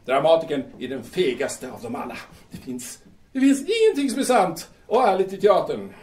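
A high, wildly swinging voice acted by a man gives us a drunken bohemian playwright, grandiose and irritable. He declaims in halting bursts for an audio drama, heard from a distance over faint noise. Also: wildly swooping pitch, a little mellow. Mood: scornful ranting. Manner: declamatory, halting, emphatic repetitions. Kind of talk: theatrical audio drama acting in Swedish.

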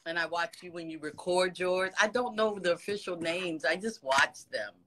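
A woman in her sixties talks into a phone held close, her voice bright and clear. She gushes and encourages in an easy, chatty way. The call comes from an office. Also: fairly low pitch, moderate pace, a little hiss or hum. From United States. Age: 60s. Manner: gushing, warm praise with frequent laughs. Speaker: fan on a video call. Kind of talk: casual chat. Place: office with ceiling lights. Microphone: phone camera held close.

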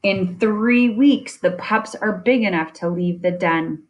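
A woman reading a children's nonfiction picture book aloud in a deliberate way, with chatty asides. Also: medium pitch, slight background noise, poor audio. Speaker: reader of children's science book.

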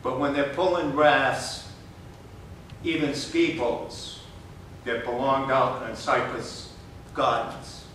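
A man's voice: medium pitch, fairly even intonation, slow, long pauses, a bit bright, slight background noise. An older man with a New England accent making a rambling, blunt public complaint at a city commission podium, with lots of fillers. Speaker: resident giving public comment. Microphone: podium gooseneck mic. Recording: echoey chamber, mic at a distance.

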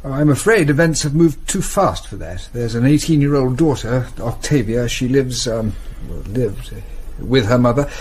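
A senior British barrister played by a man in a radio play. He is mild and hesitant, mid-pitched, with faint noise behind. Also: expressive, moderate pace, hardly any pauses, a little mellow, natural voice. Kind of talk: British radio drama acting.

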